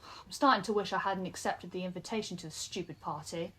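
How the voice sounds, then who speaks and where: A woman's voice: lowish voice, brisk, pushed and bright, husky, rough recording. A woman acting in an audio drama as a bored, sceptical young woman with a dry, dismissive, sarcastic manner. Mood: sullen, dry.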